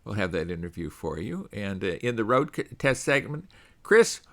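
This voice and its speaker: A middle-aged man hosting a radio show in a bright, forceful voice. He talks in a loose, conversational way, often pausing on uh. It sounds like a studio microphone. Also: lowish voice, sing-song. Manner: conversational, with frequent uh fillers.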